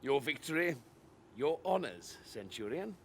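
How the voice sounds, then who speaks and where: An actor playing a measured, sagely Roman military superior in a video game cutscene, speaking deliberately. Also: male voice, big pitch swings, a bit bright. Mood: dry, knowing. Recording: clear background noise, rumbling ambience.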